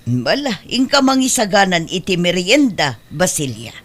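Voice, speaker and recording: An actress plays an older, well-to-do Filipino wife who runs her household with a commanding air. Her pitch moves animatedly as she speaks. The delivery is expressive comedy drama acting. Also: normal speed. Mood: bossy, firm. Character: well-off older married woman, bossy mistress of the house. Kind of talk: Ilocano comedy radio-style drama acting.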